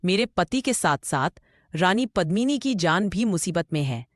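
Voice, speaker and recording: A woman's voice: on the low side, big pitch swings, moderate pace, no room sound, clean studio sound. A woman playing a devoted, determined village wife in a cartoon story, earnest and dramatic. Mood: alarmed, determined. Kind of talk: Hindi cartoon story voice acting.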